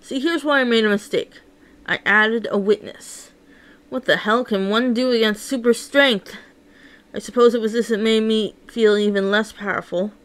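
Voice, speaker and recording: Woman, fairly high pitch, first-person storytelling, theatrical, emotive narration. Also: fairly even intonation, moderate pace, a bit bright, smooth. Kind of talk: dramatic first-person story read-along.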